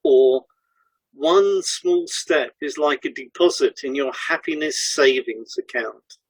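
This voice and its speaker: A man's voice: a little high, takes it slowly, slightly rough, no room sound, low-quality recording. A man co-hosting a scripted podcast, explaining ideas for therapists. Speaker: podcast co-host and hypnotherapist.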